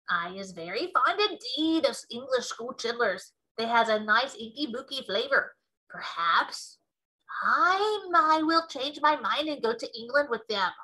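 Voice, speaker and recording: Lady doing a big greedy man-eating giant for a storybook, loud forceful voice, gloaty and mean, kinda high. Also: full character voice, low-quality recording. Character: greedy, brutish man-eating giant, male, bellowing and contemptuous. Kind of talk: storybook read-aloud.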